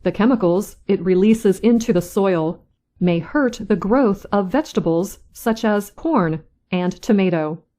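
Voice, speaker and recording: Slow-reading woman news reader. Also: mid-range voice, mellow. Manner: slow, careful, clear delivery. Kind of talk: slow scripted reading for learners.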